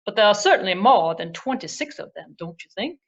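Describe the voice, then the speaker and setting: A woman's voice: mid-range voice, animated, a little fast, muffled recording, lightly acted. A woman voicing a self-important, theatrical male puppet Broadway critic in a grandiose manner for a children's puppet show. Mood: pompous, admiring. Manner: grandiose, dramatic, with repeated phrases.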